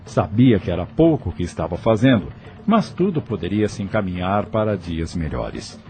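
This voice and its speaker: Low, lively Brazilian male narrator. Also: normal speed. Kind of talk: scripted audiobook narration. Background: music.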